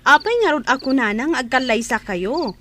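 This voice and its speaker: An actress playing a candid, inquisitive younger sibling in a radio drama, questioning and concerned. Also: female voice, high-pitched, expressive, moderate pace, pushed and bright, slight background noise. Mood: curious, concerned. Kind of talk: Ilocano radio drama acting.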